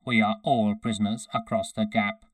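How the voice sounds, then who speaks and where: A man's voice: on the low side, flat delivery, unhurried, dark tone, dry recording, close to an everyday voice. A man voicing an obedient, emotionless cyborg centurion in a story, with a metallic, synthesized, toneless, clipped delivery. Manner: toneless, clipped, matter-of-fact.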